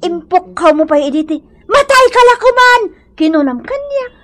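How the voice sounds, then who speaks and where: Emotional high-voiced Ilocano village woman. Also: big pitch swings, moderate pace, fairly bright tone, full character voice. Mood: plaintive, forgiving. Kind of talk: Ilocano comedy radio drama acting.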